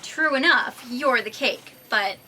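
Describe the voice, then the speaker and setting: A woman's voice: a little high, expressive, slow, heavy background noise. A woman playing a sly, flippant, food-obsessed computer program in a comedic sci-fi audio drama.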